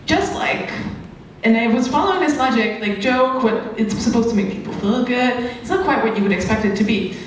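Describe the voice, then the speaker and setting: A woman from Hungary with a Hungarian accent doing live stand-up in a halting, self-interrupting way. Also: fairly high pitch. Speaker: stand-up comedian. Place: comedy club stage before an audience. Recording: echoey live venue, distant mic, noisy.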